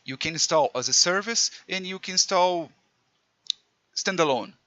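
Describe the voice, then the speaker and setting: A man from Brazil with a Brazilian Portuguese accent, a native Portuguese speaker teaching programming in halting English with many restarts. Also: high-pitched, expressive, bright, forceful tone, dull audio. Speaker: programming tutor. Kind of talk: screen-recorded coding tutorial.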